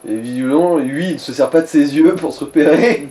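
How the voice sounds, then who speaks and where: A man's voice: a little high, brisk, mellow. A man chatting casually as co-commentator on a video game, advising the player in slangy, mildly crude language. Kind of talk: casual gaming chat.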